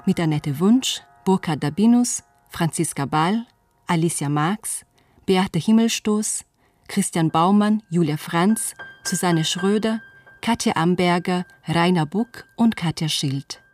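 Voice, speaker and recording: Lower-pitched woman announcer over faint music. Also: slow, darkish tone. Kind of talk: reading radio play credits. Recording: music playing behind the voice.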